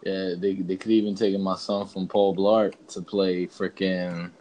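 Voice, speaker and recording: A man's voice: sits low, level tone, measured pace, mellow. A man co-hosting a podcast, giving a casual film review in a rambling, hesitant, laid-back way. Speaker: podcast co-host.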